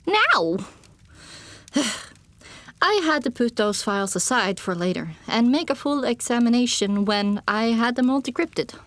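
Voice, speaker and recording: Woman audiobook narrator, kinda high voice, very expressive storytelling. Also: normal speed, no echo. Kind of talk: expressive first-person fiction narration.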